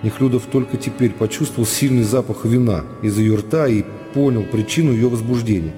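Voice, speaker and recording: Male narrator for classic-novel radio drama: medium pitch, mellow tone, soft music behind. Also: a little fast.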